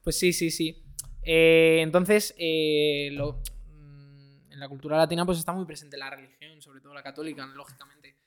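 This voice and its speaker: A young man with a southern Madrid accent who talks in an easygoing, rambling way and fills his sentences with hesitations. His voice sits a little high and sounds smooth and resonant. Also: fairly even intonation, normal speed. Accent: southern Madrid Spanish. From born in Colombia, raised in Madrid. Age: 20s. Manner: easygoing, rambling, many fillers. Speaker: guest singer-songwriter. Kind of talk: casual podcast chat.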